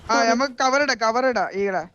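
High-voiced man gaming. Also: normal speed, sharp tone, highs cut off. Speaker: teammate in an online shooter game. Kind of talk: casual in-game squad chat. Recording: noisy game voice chat, clicks.

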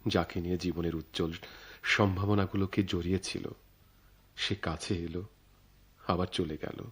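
Low-voiced brooding male playwright. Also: steady tone, breathy edge. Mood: detached, philosophical. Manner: theatrical, deliberate, declamatory. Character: brooding male playwright, philosophical, detached, fatalistic. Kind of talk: Bengali radio drama acting.

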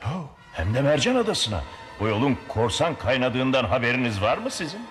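A man's voice: mid-range voice, big pitch swings, brisk, soft background music, natural voice. A man playing a bold, cautious sea captain in a dubbed cartoon, dramatic and authoritative. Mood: wary. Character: bold, cautious seafaring captain, commanding and resolute.

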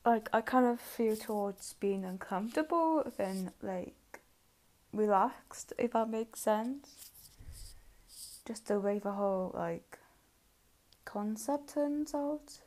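A woman's voice: on the high side, animated, slow, darkish tone. A woman giving casual, hesitant spoken commentary as she reviews videos she is reacting to.

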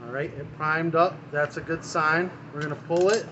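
A man's voice: on the high side, fairly even intonation, normal speed, lo-fi sound. A man, a small engine mechanic, talking casually through a hands-on repair in his workshop. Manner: casual, talks while working. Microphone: camera mic, speaker off-frame. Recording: noisy workshop, engine running. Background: engine and shop noise.

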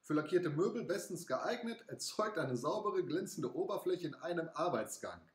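A young man hosting a casual product review in his home garage, relaxed and chatty with frequent fillers. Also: a little high, moderate pace, slightly rough. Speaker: car care channel host. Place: small home garage, indoors.

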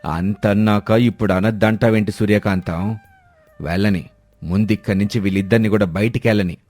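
A man voicing a stern, angry old father who scolds and commands, in an animated moral story. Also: fairly low pitch, a little fast, dry recording, close to an everyday voice. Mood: harsh.

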